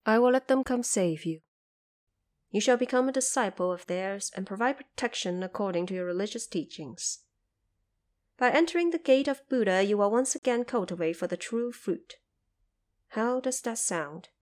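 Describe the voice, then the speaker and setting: A woman playing a compassionate female Bodhisattva in an audio drama, speaking in a measured, formal, instructive way. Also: mid-range voice, lively intonation, a little mellow, natural voice. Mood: solemn, instructive. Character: female Bodhisattva, serene, compassionate, gently stern.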